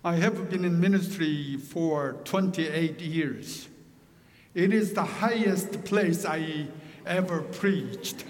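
Slow-preaching pastor with Korean accent. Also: male voice, fairly high pitch, in a large hall, a little hiss or hum. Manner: slow, deliberate, with joking asides. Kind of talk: sermon.

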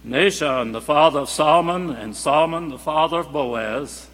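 A man reading scripture formally in a church service, with a measured, declamatory delivery. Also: fairly high pitch, a bit bright. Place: large church sanctuary. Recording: echoing church hall with faint hum.

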